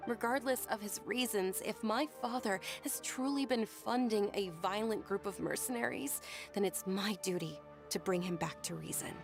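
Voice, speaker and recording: A woman as a gravelly-voiced kind young noblewoman. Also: medium pitch, normal speed, close to an everyday voice. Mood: resolute. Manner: calm and reassuring. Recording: game music behind.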